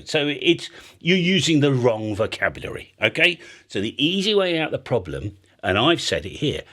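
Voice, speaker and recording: Male host, British accent, informal and talkative, swinging pitch, explains by acting out little exchanges. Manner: chatty, animated, acts out example exchanges. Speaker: ham radio video host. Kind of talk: casual explainer chat.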